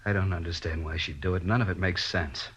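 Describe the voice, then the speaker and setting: A distraught middle-aged American father in an old radio drama. His low voice is husky and strained with grief, halting and pleading. The recording is dull and dated. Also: steady tone, fairly bright tone. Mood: dazed, subdued. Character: distraught grieving father of a dead daughter. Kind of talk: 1950s radio drama acting.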